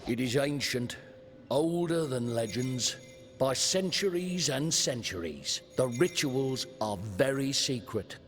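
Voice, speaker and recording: An actor playing a solemn, mysterious keeper of ancient rituals in a game, grave and ominous, with a creaky voice. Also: male voice, mid-range voice, expressive, takes it slowly. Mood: solemn, secretive. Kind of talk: dramatic video game acting. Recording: music behind the voice. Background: music.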